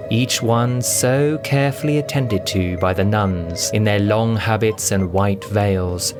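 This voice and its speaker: A man narrating a scripted science-fiction story with a theatrical, expressive delivery. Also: lowish voice. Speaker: audiobook narrator and voice performer. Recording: loud music bed under the voice. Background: music.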